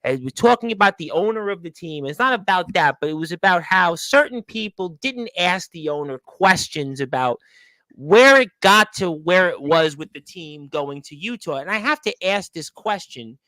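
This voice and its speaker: A man's voice: sits high, expressive, moderate pace, sharp tone, no room sound, poor audio. A man hosting a radio interview, asking long, rambling questions that circle back on themselves. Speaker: radio show host.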